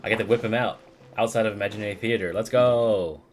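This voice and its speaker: A man's voice: lowish voice, fairly even intonation, fast talker, faint music behind. A man giving casual live playthrough commentary, rambling and hesitant, with plenty of slang. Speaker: game streamer.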